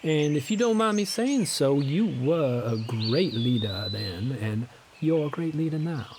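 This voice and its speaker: An actor playing a chatty, obliging elf healer and army veteran in a fantasy audio drama. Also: male voice, a little high, expressive, measured pace. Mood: soothing, admiring. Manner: obliging, ingratiating, gossipy. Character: chatty, deferential male elf doctor, old veteran. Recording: outdoor birdsong ambience, faint noise.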